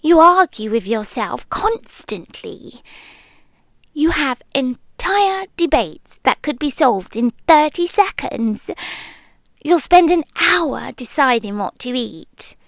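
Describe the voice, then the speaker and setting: Woman acting as a possessive yandere girlfriend spirit, British accent, high soft voice, slow and breathy, teasing, close dry ASMR roleplay. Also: big pitch swings, dry recording, heavily acted, poor audio. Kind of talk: intimate ASMR roleplay, often whispered. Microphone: close microphone, dry.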